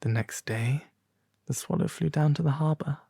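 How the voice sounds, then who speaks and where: A man narrating a fairy tale in a soft, breathy ASMR storytelling style, gentle and theatrical, close to the microphone. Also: fairly high pitch, moderate pace, dry recording. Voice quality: soft, breathy, at times whispery.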